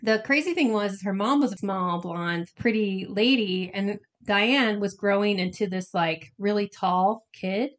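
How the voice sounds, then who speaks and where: A woman's voice: medium pitch, unhurried, few pauses. Congested woman chattily telling a story. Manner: chatty, colloquial, frequently quoting imagined dialogue. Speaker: podcast host telling a story. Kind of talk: casual conversational storytelling.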